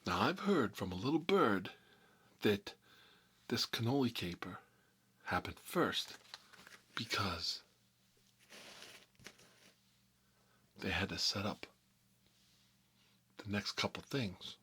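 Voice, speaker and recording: A man's voice: fairly low pitch, expressive, slow pace, long pauses, fairly bright tone, husky, exaggerated voice. A man playing a nostalgic, folksy mob boss, telling rambling stories in a casual ASMR style. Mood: friendly. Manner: rambling, folksy, frequent "you know". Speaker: host of a character story series.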